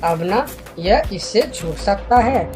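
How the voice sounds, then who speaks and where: A woman playing a clever, confident monkey who explains things with assurance in an animated fable. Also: medium pitch, takes it slowly, over music. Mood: assured explaining. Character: clever, confident young male monkey, helpful problem solver. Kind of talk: Hindi animated fable dialogue.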